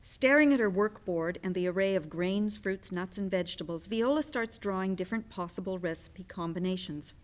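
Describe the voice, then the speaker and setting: A woman's voice: mid-range voice, normal speed, few pauses. A woman narrating scripted stage directions for a play reading.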